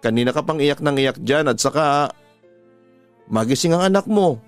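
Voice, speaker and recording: A Filipino man voicing an older father who soothes and gently chides his grown daughter in a radio drama story. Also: on the high side, animated, moderate pace, dry recording, soft background music, lightly acted. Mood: consoling.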